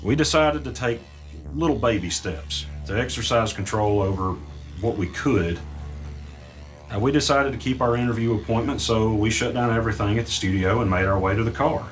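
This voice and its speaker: A man playing an uneasy podcaster who narrates his own mystery in the first person, deliberate and halting. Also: lowish voice, faint music behind, close to an everyday voice. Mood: uneasy, brooding.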